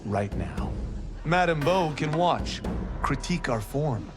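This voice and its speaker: A man's voice: fairly high pitch, lively intonation, slow, over music. A man playing a brash, playful and loyal young fighter in a video game, with a teasing swagger. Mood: cocky banter. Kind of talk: video game cutscene acting.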